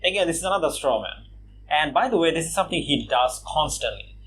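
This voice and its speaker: A man from Bangladesh giving casual response commentary on a video, mocking his opponent with scoffing laughs and lots of "uh" and "you know" pauses. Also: a little high, expressive, fairly bright tone, slight background noise. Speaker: YouTube commentator.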